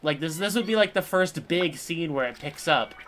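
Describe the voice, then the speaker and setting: A man's voice: fairly high pitch, expressive, normal speed, a bit bright. A man live streaming a game with casual, wry and sarcastic commentary.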